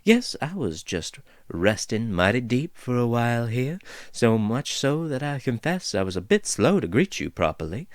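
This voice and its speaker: A man's voice: medium pitch, big pitch swings, moderate pace, no echo, studio quality, pitched above the performer's own voice, close to an everyday voice. A man voicing a chatty female dwarf who rambles in a folksy drawl, in a storybook reading. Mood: chirpy, rambling. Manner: meandering, drawling, folksy turns of phrase.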